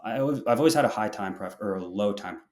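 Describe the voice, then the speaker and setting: A man's voice: on the low side, lively intonation, quick pace, darkish tone, echoey room. A young man explaining his views as a podcast guest, often restarting his sentences as he works through a point. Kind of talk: interview answers.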